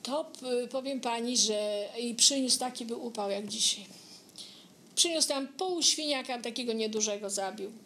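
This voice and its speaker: A woman's voice: fairly high pitch, unhurried, bright, forceful tone, a little hiss or hum. An older woman telling anecdotes conversationally, addressing her listener directly and quoting bits of dialogue. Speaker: local resident sharing memories.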